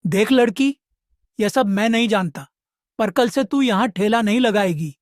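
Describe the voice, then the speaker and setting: Casting: male actor for hot-tempered, envious street vendor in animated fable, threatening delivery, dry studio sound. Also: high-pitched, measured pace, dry recording. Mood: angry, threatening. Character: angry jealous male food-cart vendor, bullying and scheming. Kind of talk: animated moral story dialogue.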